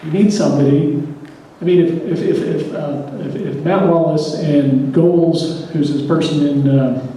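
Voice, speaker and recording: A man giving a halting public comment at a community meeting, stumbling through fillers and restarts. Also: a little high, fairly even intonation, mellow. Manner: hesitant, many fillers and restarts. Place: large hall at a public meeting. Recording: echoey hall from far off, faint noise.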